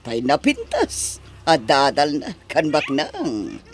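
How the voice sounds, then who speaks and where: A woman with an Ilocano accent playing a sharp-tongued, disapproving middle-aged mother-in-law in an audio drama, scolding and emphatic. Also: low voice, wildly swooping pitch, slow, a little hiss or hum, full character voice.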